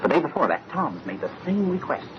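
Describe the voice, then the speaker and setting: An actor playing an earnest, agitated young fiancé in an old-time radio mystery, speaking with dramatic insistence. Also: male voice, a little high, very animated, brisk. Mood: brooding, intent. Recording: muffled, noisy old radio recording.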